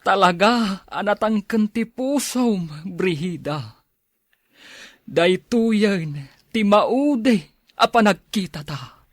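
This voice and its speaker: An actor playing a lovesick older suitor in a radio drama, declaiming sentimentally and haltingly with an Ilocano accent. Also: male voice, sits high, expressive, no echo, studio quality, heavily acted. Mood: smitten.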